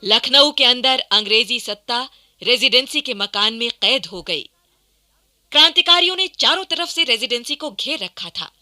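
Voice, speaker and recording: A woman narrates a historical radio drama in a formal, declamatory style. Her voice is fairly high and strikingly bright, with very lively intonation that drives each emphatic phrase. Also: moderate pace, soft background music. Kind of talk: dramatic scripted documentary narration.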